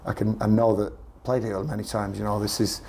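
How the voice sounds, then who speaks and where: Man, croaky, earnest, low-pitched, faint background noise. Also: flat delivery, fairly quick, darkish tone. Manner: earnest, repeats himself while searching for words. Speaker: football manager. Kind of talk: post-match press interview.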